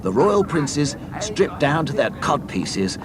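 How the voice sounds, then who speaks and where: A man narrating a scripted read-along storybook in a deliberate, deadpan way. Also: on the high side, crowd noise.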